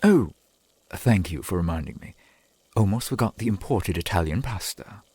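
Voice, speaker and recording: A man playing a centuries-old vampire boyfriend in an ASMR roleplay, doting and earnest, with halting, old-fashioned formal speech. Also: sits low, animated, a little mellow, husky, no room sound, exaggerated voice. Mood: eager, earnest. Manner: formal archaic wording, halting, eager to please.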